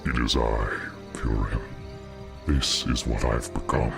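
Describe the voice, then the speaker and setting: Super deep gravelly demon dude, slow n power hungry, video game cutscene, loud scary music. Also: male voice, flat delivery, full character voice. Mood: grim pride. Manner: slow, menacing. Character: brooding, power-hungry demon hunter turning into a demon. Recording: loud ominous music over voice.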